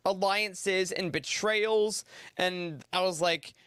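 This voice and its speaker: A man's voice: high voice, slow pace, fairly bright tone, clear, resonant tone, dry recording, studio quality. A man on a podcast panel giving a casual review in an informal, rambling way.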